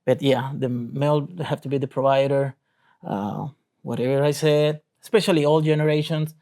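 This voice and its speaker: A man's voice: mid-range voice, steady tone, normal speed, warm tone. A man in his forties from Rica, a Spanish speaker, talking earnestly and plainly as a guest in a discussion. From Costa Rica. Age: 40s. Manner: earnest, plainspoken, loose grammar. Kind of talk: casual discussion.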